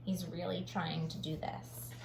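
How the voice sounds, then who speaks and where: A woman reading a children's picture book aloud like a teacher, dramatic on sound words and pausing to comment. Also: fairly low pitch, level tone, gravelly. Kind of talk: expressive children's read-aloud with explanatory asides. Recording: roomy echo, faint background noise.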